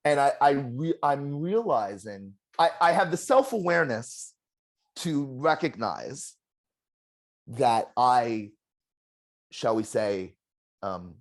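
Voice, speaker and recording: A former game contestant in a casual exit interview, telling stories in an animated, self-deprecating way with a loud voice. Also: medium pitch, slow, long pauses. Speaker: eliminated contestant, juror.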